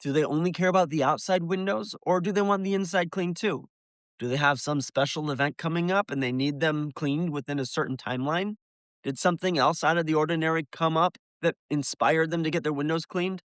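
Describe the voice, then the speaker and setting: Higher-pitched man giving a tutorial. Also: brisk, fairly bright tone, dry recording. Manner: explanatory, addresses the listener directly. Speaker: window cleaner presenting a business tutorial. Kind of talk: instructional video narration to viewers.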